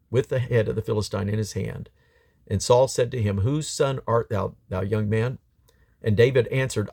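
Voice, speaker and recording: A man's voice: mid-range voice, vocal fry, darkish tone. A man reading scripture aloud as a Bible reader, in a solemn, emphatic storytelling manner.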